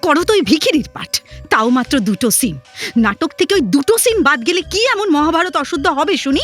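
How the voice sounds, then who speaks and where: Woman acting very high-voiced, sharp-tongued wife. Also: big pitch swings, moderate pace, faint music behind. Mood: mocking, scolding. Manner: sarcastic, scolding. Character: sharp-tongued, mocking wife of a stage actor. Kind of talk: Bengali audio drama acting.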